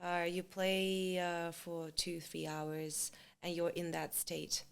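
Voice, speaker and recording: A woman actress being interviewed, speaking English in a relaxed, halting way and slipping in Serbian words. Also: lowish voice, creaky, smooth. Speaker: actress, guest. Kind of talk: casual interview chat.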